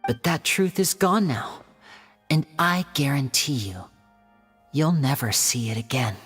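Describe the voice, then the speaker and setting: Give this video game magician a young, theatrical voice with animated pitch. He is composed and quietly menacing, choosing his words with measured drama. The sound carries a long reverb, with light music underneath. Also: breathy edge. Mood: probing, knowing. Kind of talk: video game character acting.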